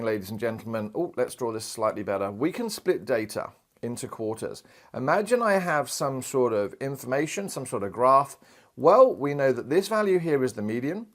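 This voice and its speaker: Lively, chatty male maths teacher. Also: mid-range voice. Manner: lively, chatty, acts out quoted voices. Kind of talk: recorded maths lesson. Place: indoor studio in front of a screen.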